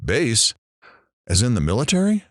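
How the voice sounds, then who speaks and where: A man's voice: medium pitch, huge pitch swings, normal speed, no room sound, studio quality. A man playing a brash, sardonic middle-aged radio talk show host in an audio drama, with showy, theatrical patter. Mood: mocking, irreverent.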